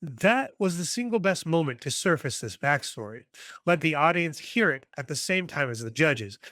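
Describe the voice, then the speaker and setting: A man with a voice a little higher than average presents a scripted critical review. He is articulate and analytical, with a wry, self-deprecating streak. The recording is dry and close, with little room sound. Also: sing-song, normal speed, a bit bright, dry recording. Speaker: video essayist, TV episode reviewer. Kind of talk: scripted video essay review.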